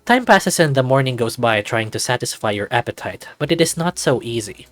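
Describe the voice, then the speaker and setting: Expressive game narrator, dry sound over music. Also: mid-range voice, fairly quick, no room sound. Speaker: narrator reading a visual novel aloud. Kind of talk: scripted narration with casual commentary. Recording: dry recording with music behind.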